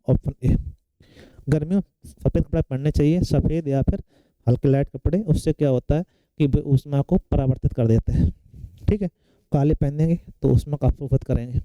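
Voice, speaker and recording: Mellow man teaching. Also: a little high, no room sound, poor audio. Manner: explanatory, often checking in with short tag questions. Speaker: science teacher for competitive exam students. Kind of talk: classroom lecture working through exam questions.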